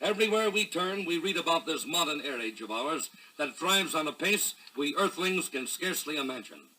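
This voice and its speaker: Bright, high-pitched American male announcer. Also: lively intonation, normal speed, low-quality recording. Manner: dramatic announcer delivery. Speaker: narrator and host of a promotional record. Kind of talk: 1950s scripted promotional narration. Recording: raspy-edged 1950s vinyl record.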